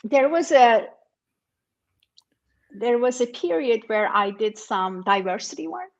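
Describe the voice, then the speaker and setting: A woman's voice: on the high side, expressive, slow pace, dull audio. Thoughtful woman author being interviewed. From Turkey. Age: middle-aged. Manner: thoughtful, frequent fillers, warm laughs. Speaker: author and guest. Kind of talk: conversational interview.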